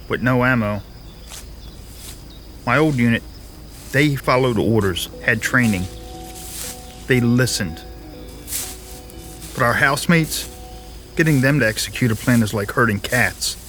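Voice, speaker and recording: Man acting terse deadpan soldier over music. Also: medium pitch, unhurried, long pauses, natural voice. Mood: dry, exasperated. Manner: laconic, deadpan. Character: grim, terse male military sergeant, weary of undisciplined housemates. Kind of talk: audio drama acting.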